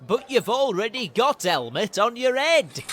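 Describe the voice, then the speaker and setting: A man's voice: very high voice, very animated, a little slow, heavily acted. A man with a British accent playing a clumsy, cheeky, grumbling squire in a comic audio drama. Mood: flustered grumbling. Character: grumbling, clumsy lowly squire, former rubbish collector. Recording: very noisy track with clicks.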